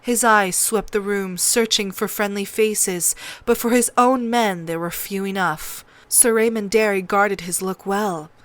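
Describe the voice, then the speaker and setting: A woman's voice: mid-range voice, steady tone, unhurried, hardly any pauses. A woman reading scripted fiction as an audiobook narrator, in a dramatic storytelling style.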